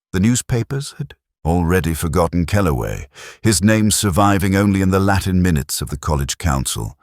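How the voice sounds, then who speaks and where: A man playing a weary, melancholy middle-aged detective, narrating his story in a measured, reflective, literary way. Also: rumbling low, no echo, clean studio sound, full character voice. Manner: measured, brooding, literary delivery. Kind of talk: scripted first-person detective story narration.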